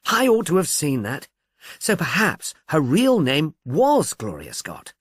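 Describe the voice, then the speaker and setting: A man's voice: fairly high pitch, wildly swooping pitch, unhurried, breathy edge, no room sound, pitched above the performer's own voice, heavily acted. A man voicing a sceptical, exasperated policeman in an audiobook, precise and grudging. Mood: conceding. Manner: dry, complaining, precise.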